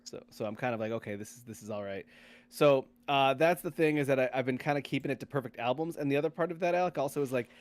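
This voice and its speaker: A male podcast host talks in a casual, conversational way. His voice sits at a medium pitch. He tends to restart and rephrase his thoughts as he goes. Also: fast talker, no room sound. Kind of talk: casual chat.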